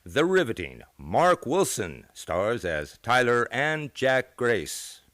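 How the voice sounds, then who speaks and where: Theatrical radio announcer man, lively swooping delivery. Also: mid-range voice, slow pace. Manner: grandiose, theatrical showmanship. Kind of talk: old-time radio scripted announcing. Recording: live theater show with music.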